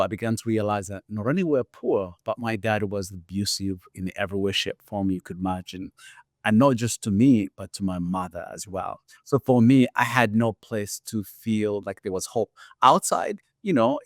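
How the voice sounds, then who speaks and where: A man's voice: fairly low pitch, moderate pace, no room sound, clean studio sound. A middle-aged man with a Ugandan accent, a podcast guest earnestly telling his life story and leaning on "you know" as he talks. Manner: earnest, reflective, repeats "you know" often.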